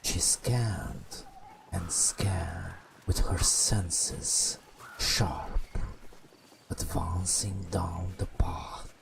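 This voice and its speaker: A man telling a bedtime story in an ASMR style, in a hushed breathy whisper with drawn-out phrases and long pauses. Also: steady tone, music in the background, low-quality recording. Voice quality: breathy whisper with creaky low murmur. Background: video game ambience.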